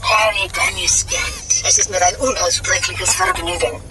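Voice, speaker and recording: A woman's voice: on the low side, fairly even intonation, measured pace, over loud music, close to an everyday voice. An actress in a German radio play, voicing an electric philosopher woman who speaks formally, precisely and politely. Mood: admiring. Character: formal, logical electric replica of a female philosopher.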